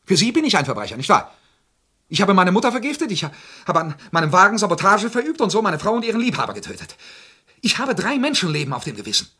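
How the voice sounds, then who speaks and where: Lovesick wounded killer in a radio crime drama, husky voice, really swinging pitch. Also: male voice, big pitch swings, fast talker, heavily acted. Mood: agitated, defensive. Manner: impassioned, swinging from hushed to outraged. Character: intense, wounded, lovesick man who confesses to murders. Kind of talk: dramatic radio play acting.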